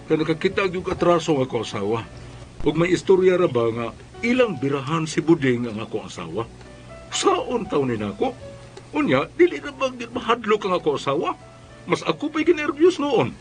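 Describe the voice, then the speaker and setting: An anxious husband in a Philippine radio drama. His high voice moves up and down a lot, with faint music behind it. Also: big pitch swings, normal speed. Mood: troubled. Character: anxious husband troubled by his hot-tempered wife.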